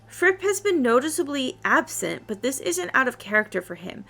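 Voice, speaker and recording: A solemn druid sage in a fantasy game , voiced by a woman. The wording is formal and measured, in a voice slightly on the high side. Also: very animated, gravelly, bright, forceful tone, heavily acted. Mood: reverent.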